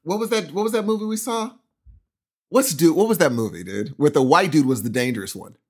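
A man's voice: a little high, expressive, a little fast, slightly rough. An American man co-hosting a podcast in casual chat, informal and playful in the way he talks. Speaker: podcast co-host.

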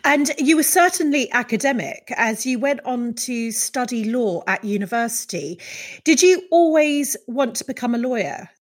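A woman's voice: fairly high pitch, animated, moderate pace. A woman hosting a conversational podcast. She asks her guest encouraging, friendly questions with casual fillers. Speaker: podcast host. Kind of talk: interviewing a guest.

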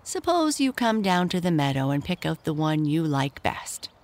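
A woman's voice: low voice, big pitch swings, normal speed, a little mellow. A woman doing a reassuring farm stockman. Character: friendly farm stockman selling horses.

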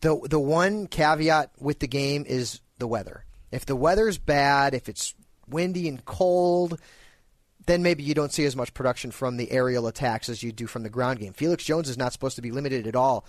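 A man co-hosting a sports radio show, with lively banter. His voice sits at a medium pitch, and the studio sound is dry and close to the mic. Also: normal speed, no room sound. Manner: animated, joking banter. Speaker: radio co-host.

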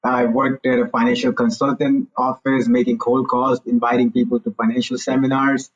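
A man's voice: mid-range voice, little pitch movement, normal speed, far from the mic, highs cut off. A man in his forties from India, a guest telling his story frankly in a casual interview. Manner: candid, hedges with "you know, look".

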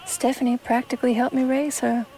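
A woman's voice: on the high side, little pitch movement, fairly quick, mellow, soft background music, close to an everyday voice. A woman playing a poised, guarded and defiant 1920s Harlem woman in an audio drama, polite but curt. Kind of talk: period audio drama acting.

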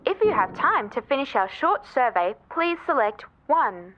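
A woman's voice: high-pitched, big pitch swings, normal speed, a little hiss or hum. A woman playing an upbeat, impersonal corporate hotline operator in an audio drama, heard through a phone filter with formulaic scripted delivery. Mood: automated cheer. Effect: telephone line filter. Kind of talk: scripted customer-service recording in audio drama. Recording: dull, band-limited phone line.

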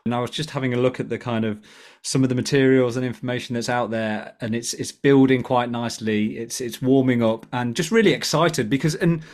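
A man's voice: mid-range voice, fairly even intonation, a little fast, a little mellow. A man from the United Kingdom co-hosting a podcast, talking enthusiastically and reflectively with frequent restarts. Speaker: podcast co-host. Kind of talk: casual podcast chat.

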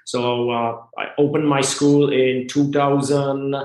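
A man from the Republic, a martial arts and strength instructor talking as a guest in a casual interview, halting and earnest with many fillers. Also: mid-range voice, flat delivery, a little mellow. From Czech Republic. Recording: roomy echo.

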